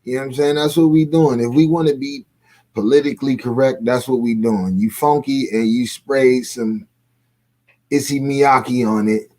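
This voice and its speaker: A man's voice: medium pitch, moderate pace, warm tone. A man with a mid-range voice talks as a guest in a casual online discussion. His delivery is colloquial and opinionated, full of slang. He often repeats phrases to drive a point home. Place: remote video call.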